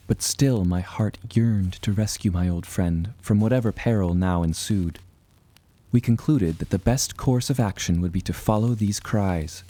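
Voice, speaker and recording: A man playing an earnest seafaring adventurer, narrating his voyage in a measured, formal storytelling manner. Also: low voice, dark tone, no echo. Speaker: narrator and protagonist. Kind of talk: scripted first-person adventure narration.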